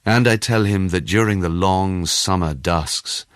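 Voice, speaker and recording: A man narrating a first-person short story in a measured, expressive storytelling manner. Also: low voice, clean tone, dry recording, studio quality. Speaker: audiobook narrator.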